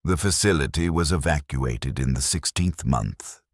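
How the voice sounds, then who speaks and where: Guy doing a resigned army supply officer telling a grim sci-fi story, super deep voice, measured, totally dry audio. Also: slightly rough, no echo, exaggerated voice. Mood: somber, resigned. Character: weary, resigned military logistics officer recounting a lost war. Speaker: narrator. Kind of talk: first-person scripted sci-fi war story narration.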